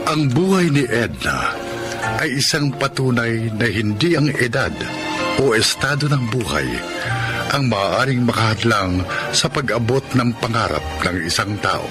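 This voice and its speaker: A man's voice: mid-range voice, sing-song, measured pace. A man with a Filipino accent reading scripted radio drama narration and credits as the announcer. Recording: loud music under voice. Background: loud music.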